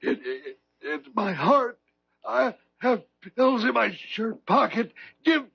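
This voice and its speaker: A dutiful old night watchman, high and raspy. He is frail, folksy and earnest, faltering as he speaks, in 1970s radio drama style on a muffled old recording. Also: sing-song, slow pace, no room sound, heavily acted. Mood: gasping, stricken. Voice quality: thin, raspy. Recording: muffled vintage radio broadcast.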